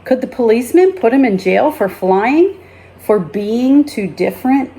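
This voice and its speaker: A woman reading a children's story aloud as a teacher, in a deliberate, expressive storytelling manner. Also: fairly high pitch, slight background noise, poor audio.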